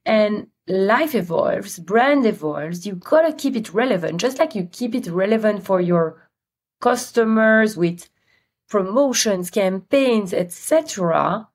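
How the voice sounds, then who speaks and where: A woman with a Belgian accent, a guest in an interview, talking in a friendly storytelling way with frequent pauses. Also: mid-range voice, lively intonation. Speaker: guest, company CEO. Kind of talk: interview conversation.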